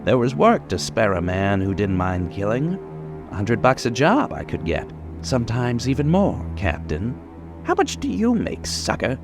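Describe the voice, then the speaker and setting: A man's voice: mid-range voice, huge pitch swings. Guy doing a menacing young killer for a radio play, boastful n unhinged, very up and down, bit of music behind. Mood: gloating. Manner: menacing, gloating, dwelling slowly on details. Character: boastful, unhinged young male killer holding police at gunpoint. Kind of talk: old-time radio crime drama acting.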